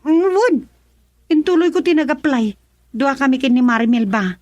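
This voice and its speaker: High-voiced, pleading Ilocano mother. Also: animated, normal speed, darkish tone, dry recording, muffled recording. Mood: hesitant. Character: middle-aged mother and wife, earnest, self-sacrificing. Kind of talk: radio drama acting.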